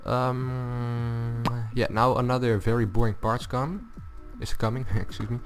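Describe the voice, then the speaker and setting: A man with a low voice giving a casual, unscripted gaming tutorial, thinking aloud as he goes. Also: level tone, a little slow. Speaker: video tutorial host. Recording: music behind the voice. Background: loud music.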